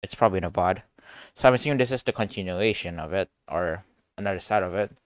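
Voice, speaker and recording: Low-voiced man, casual streamer, dry mic. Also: quick pace, no room sound. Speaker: game streamer. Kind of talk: casual live reaction commentary.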